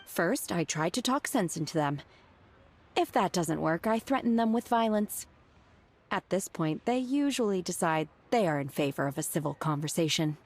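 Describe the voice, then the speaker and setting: Game role: a flat-toned young woman disciple, calm and blunt, lowish voice. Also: moderate pace, noisy background. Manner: calm and deadpan, plain-spoken. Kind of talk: video game voice acting.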